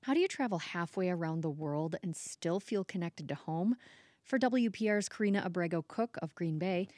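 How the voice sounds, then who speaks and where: A woman who is a radio producer, giving scripted introductions in a clear, measured way. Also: fairly low pitch, lively intonation, a little mellow, no room sound, clean studio sound. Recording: faint music behind voice.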